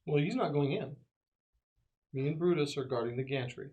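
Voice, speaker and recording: A man's voice: fairly high pitch, animated, moderate pace, darkish tone. A man playing a tabletop game, speaking casually as his character.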